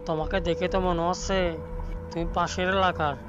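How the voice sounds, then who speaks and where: A man's voice: high-pitched, little pitch movement, a little fast, natural voice. A man playing an old villager in a cartoon, addressing a younger person in a paternal way. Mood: inquisitive. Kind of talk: Bengali cartoon voice acting. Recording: dull lo-fi audio under clear music.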